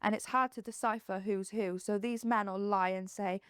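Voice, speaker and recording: A woman's voice: mid-range voice, steady tone, moderate pace, clear, resonant tone, dry recording, clean studio sound. A young woman from Wales with a Welsh accent, speaking bluntly and matter-of-factly in a documentary interview. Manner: blunt, matter-of-fact, unapologetic. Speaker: streamer interviewed in a documentary clip.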